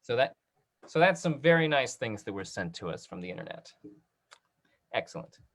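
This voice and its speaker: The host of an online theater talkback speaks in a mid-range voice with very lively, swinging intonation. The delivery is casual and encouraging, coming over a video call. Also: medium pitch, normal speed.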